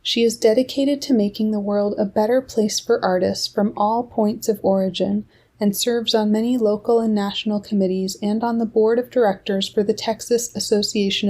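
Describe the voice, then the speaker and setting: Mellow woman reading a podcast intro. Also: medium pitch. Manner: measured, steady reading. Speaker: podcast host.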